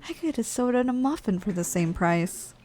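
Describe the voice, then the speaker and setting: A woman's voice: fairly high pitch, animated, a little fast, a little mellow, slight background noise, close to an everyday voice. Weary, musing giantess played by a woman. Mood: curious, musing. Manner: musing, conversational, teasing. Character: weary, snack-craving giantess office worker, bluntly chatty. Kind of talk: ASMR roleplay monologue.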